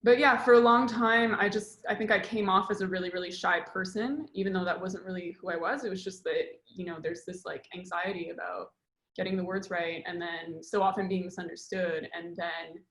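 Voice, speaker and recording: A woman speaks as a documentary director, and she thinks aloud in a hesitant way with many fillers. Her voice sits fairly low. The sound is roomy and echoey. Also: fairly even intonation, brisk, fairly bright tone. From Montreal, Canada. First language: French. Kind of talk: casual interview. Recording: roomy echo.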